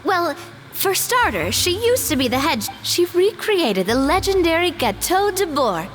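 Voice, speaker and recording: An earnest, firm game mediator. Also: female voice, very high voice, very animated, unhurried, heavily acted. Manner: earnest, firm but polite. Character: earnest young woman mediator standing up for a sick worker.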